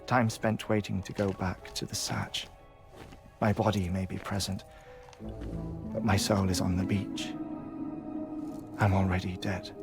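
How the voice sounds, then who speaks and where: A sad polite scientist in a video game, lowish voice, thoughtful and articulate, music behind. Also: male voice, level tone, a little slow, gravelly, long pauses. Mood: wistful, wry. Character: melancholy, courteous middle-aged male scientist obsessed with the afterlife. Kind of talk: cinematic video game acting.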